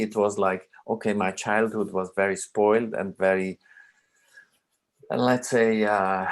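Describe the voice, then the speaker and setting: A man in his sixties, originally from Iraq, speaking as a film director at a remote panel, slow and halting with many fillers and restarts. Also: low-pitched.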